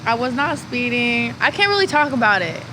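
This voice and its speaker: A woman's voice: on the high side, sing-song, fairly quick, noisy background. A young woman from California, a podcast guest chatting candidly, laughing and swearing freely. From California, USA. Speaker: interview guest. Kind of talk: casual podcast interview.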